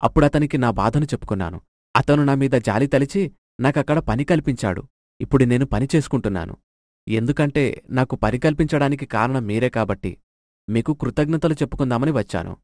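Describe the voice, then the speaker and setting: A man's voice: medium pitch, fairly quick, a little mellow, no room sound, studio quality, lightly acted. A man playing a remorseful thief in a storybook tale, earnestly and apologetically explaining himself. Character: remorseful, earnest male thief telling his hard story.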